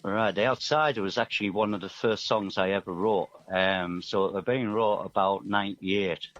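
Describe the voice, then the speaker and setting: A man's voice: fairly low pitch, steady tone, normal speed, fairly bright tone, highs cut off. A middle-aged man chatting casually and reflectively as a musician guest in a radio interview. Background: music playing underneath.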